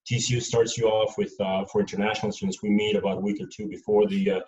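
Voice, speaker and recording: A man from Serbia speaks as a panelist, in a measured, hesitant way with frequent fillers. His voice sits a little low. He is picked up from afar in a reverberant room, and the sound is muffled. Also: level tone, a little mellow. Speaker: panelist, airline employee. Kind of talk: panel discussion answers. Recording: dull, roomy sound from a distant mic.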